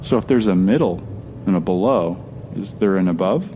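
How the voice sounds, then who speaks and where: A man's voice: low-pitched, normal speed, dark tone, slight background noise, lightly acted. A man with an American accent playing an earnest, stranded time traveler in an audio drama. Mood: friendly, curious. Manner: conversational, earnest. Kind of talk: first-person audio drama acting.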